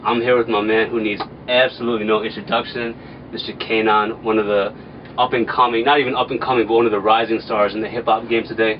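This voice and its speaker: A man's voice: on the low side, little pitch movement, fast. A young man from the American Midwest interviewing a guest in a laid-back, slangy way, with rambling questions. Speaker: interviewer, nonprofit president. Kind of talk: casual interview. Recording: distant, dull, noisy.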